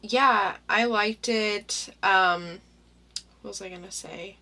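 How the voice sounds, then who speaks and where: A young woman co-hosts a casual comics podcast and takes her time, often answering with brief agreeing words. Her voice is bright and clear, and she speaks at a slow, unhurried pace. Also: medium pitch. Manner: unhurried, with short agreeing replies. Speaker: podcast co-host reviewing comics. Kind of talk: casual chat.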